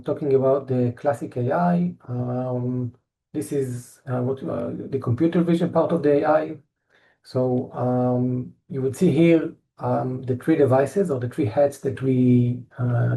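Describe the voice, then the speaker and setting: A middle-aged man with an Israeli accent giving a technical presentation, halting often with fillers and restarts. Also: mid-range voice, vocal fry, mellow, a little hiss or hum, rough recording. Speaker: tech company presenter.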